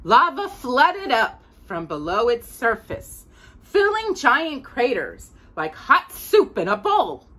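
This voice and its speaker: A middle-aged woman reading a picture book aloud to a child, expressive and deliberate, stressing key words. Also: on the high side. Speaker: host of a children's storytime. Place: small indoor room with bookshelves.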